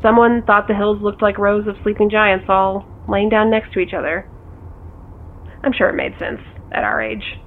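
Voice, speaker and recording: An actress playing a teasing, dry-humored big sister in a naturalistic audio drama, heard through a phone filter. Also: female voice, mid-range voice, fairly even intonation, normal speed, vocal fry, slight background noise. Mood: relaxed, curious. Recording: thin, band-limited phone line.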